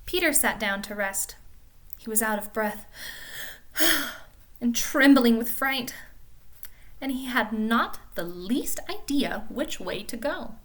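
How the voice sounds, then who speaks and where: Bright-voiced woman reading a children's story. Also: fairly high pitch, measured pace. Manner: animated, dramatic, with hushed whispers and emphatic swells. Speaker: storybook reader. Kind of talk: expressive children's story read-aloud.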